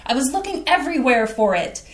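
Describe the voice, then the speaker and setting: A bubbly, folksy homemaker wife from Wisconsin, played by a woman in a stage reading, her bright high voice swooping through excited exclamations. She talks in a lively Upper Midwest lilt, and the sound rings around an echoey theater room. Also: a little fast. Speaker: actor in a community staged reading.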